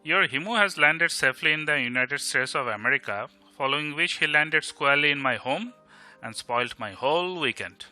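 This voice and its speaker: A man's voice: on the high side, animated, normal speed, sharp tone. A man acting a grumbling, teasing young man in an amateur audio drama, with an Indian Bengali accent and a sarcastic, exasperated manner. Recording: music behind the voice.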